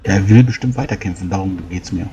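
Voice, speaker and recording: A man's voice: low voice, fairly quick, dark tone, lo-fi sound. A man playing a tabletop role-playing game, talking things over casually.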